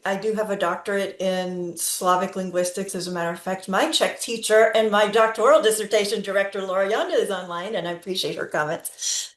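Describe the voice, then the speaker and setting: A middle-aged woman giving a talk on a video call, her voice ringing in a roomy, echoey space. She speaks in measured stretches and often stops on fillers before picking the thought back up. Her pitch sits in an ordinary middle range. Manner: speaks slowly with frequent fillers and restarts. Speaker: presenter. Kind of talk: conference talk. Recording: roomy echo.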